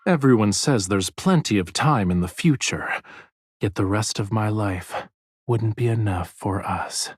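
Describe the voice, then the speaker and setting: A man playing a gentle, romantic young suitor with a poetic, courtly manner in a scripted audio drama. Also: on the low side, a little slow, slightly rough, no room sound, clean studio sound. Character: tender, playful, romantic young man wooing his beloved. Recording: music behind the voice.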